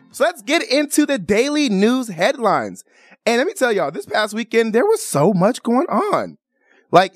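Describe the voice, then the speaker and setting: A woman with an American accent hosting a talk show, chatting to listeners and commenting on the news in an emphatic, conversational, upbeat way. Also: medium pitch. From Chicago, United States. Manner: animated, conversational, emphatic, laughs readily.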